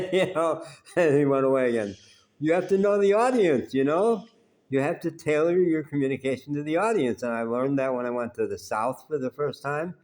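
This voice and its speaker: A man telling personal stories in an unhurried, conversational way, pausing often. He has a naturally loud voice. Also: a little high, lively intonation. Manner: unhurried and conversational, with frequent "uh" pauses. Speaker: vlogger telling life stories. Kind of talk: rambling personal storytelling.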